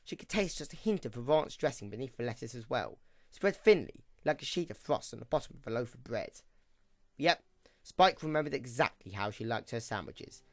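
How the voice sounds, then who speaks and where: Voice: mid-range voice, lively intonation, brisk, no room sound, clean studio sound. A narrator reading scripted third-person story narration for an amateur audio drama.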